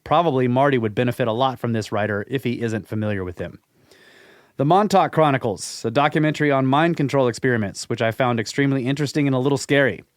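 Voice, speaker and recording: A man's voice: mid-range voice, no room sound. Laid-back male podcast host, dry studio. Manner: laid-back, skeptical, chuckles often. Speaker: podcast co-host reading listener email. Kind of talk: casual podcast chat and email reading.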